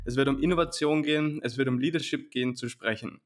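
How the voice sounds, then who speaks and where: A man's voice: mid-range voice, fast talker. A young man in his twenties, a university student hosting an interview, who asks questions in a polite and slightly formal way.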